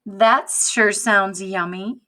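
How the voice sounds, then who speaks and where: A woman voicing an eager little boy in a storybook, with exclamatory, drawn-out words. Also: medium pitch, fairly even intonation, pushed and bright.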